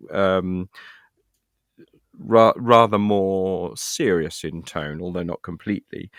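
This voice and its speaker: Low-voiced British man, dry close sound. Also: dry recording. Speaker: interview guest, actor and writer. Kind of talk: casual conversational interview.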